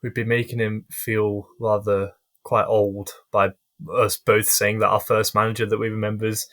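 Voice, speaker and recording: A young man hosting a casual podcast interview, friendly and hesitant with frequent pauses and restarts. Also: on the low side, little pitch movement, fairly bright tone. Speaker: podcast host.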